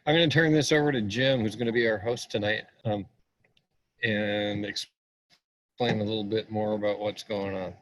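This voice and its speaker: A man's voice: on the low side, fairly even intonation, moderate pace, poor audio. Man opening a video meetup. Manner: friendly, rambling with fillers. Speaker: meetup organizer. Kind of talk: opening remarks on a video call. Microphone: video call.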